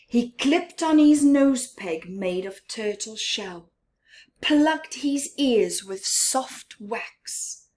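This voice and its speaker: Female children's storyteller, higher-pitched, extremely unhurried; expressive read-aloud with stretched key words and hushed asides. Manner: dramatic emphasis, drawn-out words, hushed whispered asides. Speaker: storyteller reading a picture book to children.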